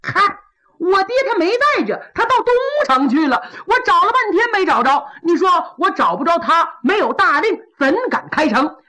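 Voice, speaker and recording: A woman's voice: big pitch swings, sharp tone, full character voice. A woman voicing a sly, evasive young man, an official's son, who whines and makes excuses. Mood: whining. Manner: whining, excuse-making, high and fast. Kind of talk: traditional Chinese storytelling.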